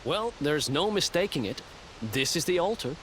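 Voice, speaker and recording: An actor voicing a down-to-earth, curious young adventurer in a video game, plain-spoken. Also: male voice, a little high, sing-song, moderate pace, slight background noise, rough recording. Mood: matter-of-fact. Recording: loud game music over the voice.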